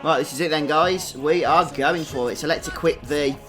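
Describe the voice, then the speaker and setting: A man's voice: a little high, moderate pace. A man giving chatty live commentary on a video game, thinking aloud and often tagging questions onto his remarks. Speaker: game streamer. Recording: game music behind the voice. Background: video game music.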